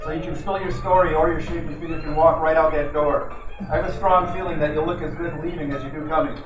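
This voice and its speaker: A man's voice: fairly high pitch, fairly even intonation, quick pace, noisy background, close to an everyday voice. A man playing a wisecracking hard-boiled detective, roomy sound. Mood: suspicious, sardonic. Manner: wry, slangy tough-guy talk. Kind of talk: old-time radio detective drama, noir narration and dialogue. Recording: roomy, distant, music behind.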